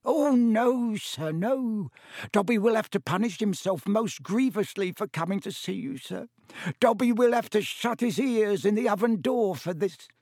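A man voicing a small, servile male house elf in an audiobook, squeaky and strained, fawning and pleading. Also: lively intonation, measured pace, a little mellow, dry recording, studio quality, full character voice. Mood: anxious, resigned. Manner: pleading, talks of himself in third person. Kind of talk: audiobook character voice.

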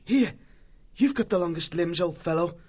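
Earnest young mouse hero, put on by a male narrator, lifted voice, lively pitch. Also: moderate pace. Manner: earnest, taking charge. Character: brave young male mouse warrior, a leader. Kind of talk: audiobook character voice.

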